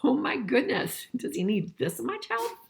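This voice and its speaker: Older woman with animated storytime delivery. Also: high-pitched, a little slow, gravelly, breathy edge. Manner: expressive, asking listeners questions as she goes. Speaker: grandmother reading a picture book to children. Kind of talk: children's storybook read-aloud with conversational asides and questions.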